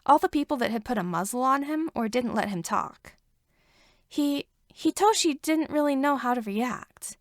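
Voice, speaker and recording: A woman reading fan fiction aloud as an expressive storyteller with wry asides. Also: high voice, moderate pace, no echo, clean studio sound. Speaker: podfic reader.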